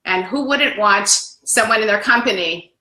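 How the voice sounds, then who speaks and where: Bright-voiced woman in an echoey room. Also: mid-range voice. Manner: measured, emphatic points listed one by one. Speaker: panel guest. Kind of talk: casual panel chat.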